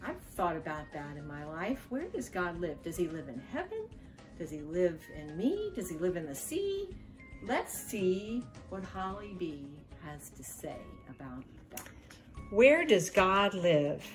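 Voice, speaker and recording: An older American woman hosting storytime for children, expressive and sing-song as she talks and reads aloud. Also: fairly low pitch, unhurried, over music. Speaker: children's storytime host and reader. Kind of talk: reading a rhyming picture book aloud to children.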